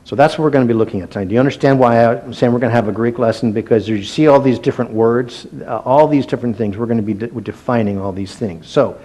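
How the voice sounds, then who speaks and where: A man teaching an evening Bible study in a relaxed, self-deprecating way, with fillers and restarts, slowing to stress each word when he reads scripture. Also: mid-range voice.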